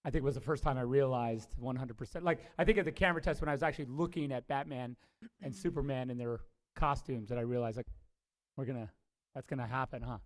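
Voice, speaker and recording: A film director answering a press question casually, rambling with fillers and restarts. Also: male voice, medium pitch, fast.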